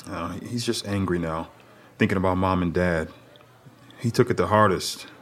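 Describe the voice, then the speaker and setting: Man as calm eldest brother, slightly deep, reassuring, protective, naturalistic audio drama. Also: normal speed, close to an everyday voice. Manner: even-tempered, reassuring.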